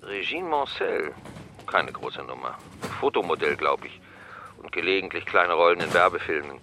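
A man's voice: deep voice, animated, moderate pace, sharp tone, slight background noise, exaggerated voice. A man acting a matter-of-fact police commissioner in a German radio crime drama, speaking in clipped, unsentimental reports.

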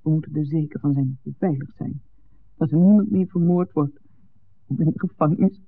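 A young village woman in a vintage radio drama, worried yet firm. Her voice is husky with some creak and sits low for a woman. The recording is thin and narrow, like an old broadcast. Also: a little fast, exaggerated voice. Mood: anxious, determined. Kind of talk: 1960s Dutch radio drama acting. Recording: muffled, band-limited 1960s radio recording.

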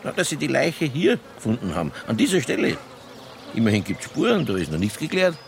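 An actor playing a brusque police detective with a Bavarian accent and an offhand, needling way of talking, in a naturalistic radio drama. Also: male voice, mid-range voice, huge pitch swings, a little fast, gravelly, slight background noise. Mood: matter-of-fact, needling. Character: brusque, sardonic male Bavarian police detective, casually bending rules.

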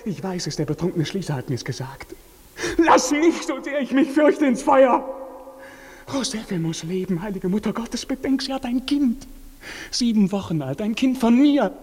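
A desperate young prisoner in a 1950s radio play, pouring out impassioned prayers. The voice is strained high and rough-edged, declaiming in anguish. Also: male voice, big pitch swings, normal speed, a little mellow, exaggerated voice. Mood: desperate pleading. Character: desperate young imprisoned lover pleading in anguish. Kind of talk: 1950s German radio drama acting.

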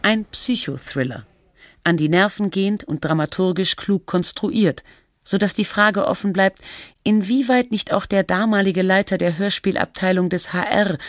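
A woman's voice: lowish voice, moderate pace, dry recording, clean studio sound. A woman reading scripted documentary narration as the narrator of a radio feature.

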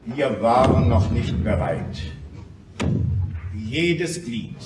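Fervent revolutionary orator, echoey and distant. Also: male voice, medium pitch, lively intonation, slow pace. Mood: grim, accusing. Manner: declamatory, emphatic. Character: fervent male Paris Commune revolutionary orating to comrades. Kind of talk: radio drama acting, political oratory. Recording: echoey, distant, noisy recording.

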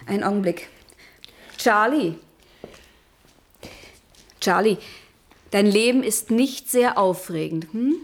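A woman's voice: medium pitch, expressive, slow, pauses often. A woman playing an inquisitive traveller in a radio play, wry and probing in the way she asks questions. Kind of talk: German radio play acting.

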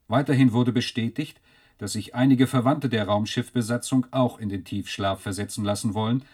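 Actor as sober, slightly husky newsreader. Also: male voice, fairly low pitch, darkish tone, lightly acted. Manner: factual, sober. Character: sober male newsreader reading an official bulletin. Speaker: newsreader in a radio play. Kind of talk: formal scripted news bulletin.

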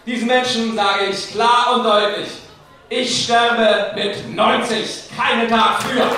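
High voiced boastful guy taunting people from a stage, big echo, crowd around him. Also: fairly even intonation, takes it slowly, pushed and bright, heavily acted. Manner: declamatory, taunting. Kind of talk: theatrical stage speech. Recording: echoey hall, distant mic, crowd noise.

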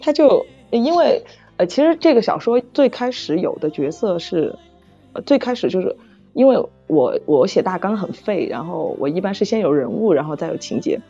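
A middle-aged woman author chatting casually about writing, halting and repeating phrases as she searches for words. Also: lowish voice, sing-song, dark tone, breathy edge. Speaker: novel author. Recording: dull lo-fi audio, music underneath. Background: background music.

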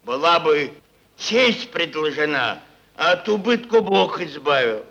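A man's voice: fairly high pitch, sing-song, measured pace, pushed and bright, dull audio, exaggerated voice. An actor playing a crude, mocking merchant boss who rambles and repeats his words in a theatrical stage style. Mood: needling. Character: crude, drunken, bullying male merchant boss, cynical and rambling. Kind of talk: theatrical stage acting, Russian classic prose.

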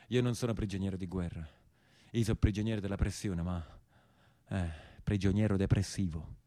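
An actor playing an embittered imprisoned peasant brigand in a theatrical monologue, speaking emphatic, repetitive dialect with a southern Italian accent. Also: male voice, fairly low pitch, dark tone, dry recording, natural voice. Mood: plaintive, embittered.

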